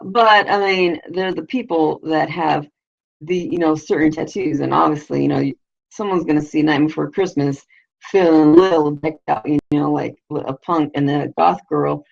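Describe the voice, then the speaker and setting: A woman with a low, raspy, heavily gravelly voice chats loosely on her own live show, rambling and crude, with "you know" in almost every phrase. The sound is dull and lo-fi. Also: normal speed, darkish tone. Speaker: vlogger, show host. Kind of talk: casual live video chat.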